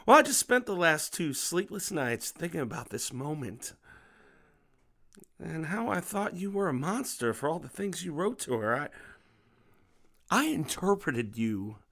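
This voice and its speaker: An American man acting in an audio drama as a middle-aged, menacing, vengeful father, speaking with deliberate, controlled menace. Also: a little high, sing-song, fairly bright tone, full character voice. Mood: mocking.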